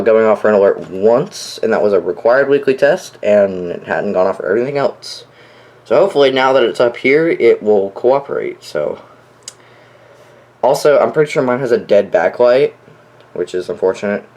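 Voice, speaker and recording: A young man giving a casual, offhand showcase of his collection, halting and often trailing off. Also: lowish voice, a little mellow. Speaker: collector showing weather radios. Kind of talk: casual product showcase vlog.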